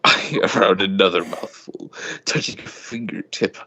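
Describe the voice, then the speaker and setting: A man reading fan fiction as an amateur, with a husky, often breathy voice and a hammy, halting delivery. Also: low-pitched, sharp tone, a little hiss or hum. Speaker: story reader.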